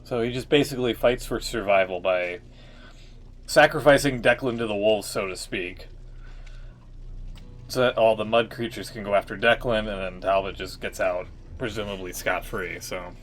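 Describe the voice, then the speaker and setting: A man's voice: on the low side, normal speed. A man streaming live, giving casual, profane, joking commentary on a game story.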